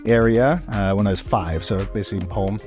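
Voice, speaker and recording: A man from Ontario, Canada, co-hosting a podcast and telling stories in a loose, rambling, animated way. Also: on the low side, brisk, faint music behind. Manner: rambling, animated storyteller with fillers and laughs. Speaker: podcast co-host, real estate agent. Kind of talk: casual podcast storytelling.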